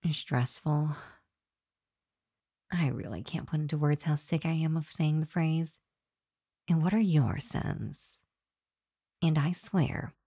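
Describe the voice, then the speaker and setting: A woman plays a sweet demon girlfriend winding down after work in an ASMR roleplay. Her voice is low and mellow, soft and confiding, full of tired affection. It is recorded close and dry. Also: dry recording, full character voice. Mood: weary venting. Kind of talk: ASMR roleplay, intimate monologue to listener. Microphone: close microphone, dry room.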